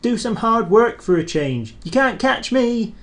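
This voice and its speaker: A man voicing a cheeky, fussy little male tank engine that whistles and teases in a high voice. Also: very animated, unhurried, a bit bright, heavily acted. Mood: teasing. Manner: high squeaky whistling voice, teasing.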